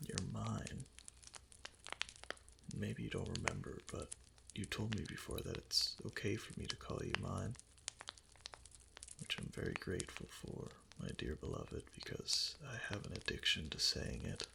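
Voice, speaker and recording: A man playing an obsessively devoted kitsune husband in an intimate romantic ASMR roleplay, speaking softly and hesitantly in a breathy, often whispered voice close to the mic. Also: deep voice, a little slow, pauses often, noisy background, heavily acted. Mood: possessive, obsessive. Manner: soft, hesitant, clingy pillow talk. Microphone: close ASMR microphone.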